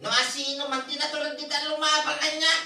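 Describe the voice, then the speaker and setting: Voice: high-pitched, level tone, fairly quick, bright, forceful tone, full character voice. An actor playing a boastful, quarrelsome drunken husband in an Ilocano comedy audio drama, blustering and exclaiming. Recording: echoey room.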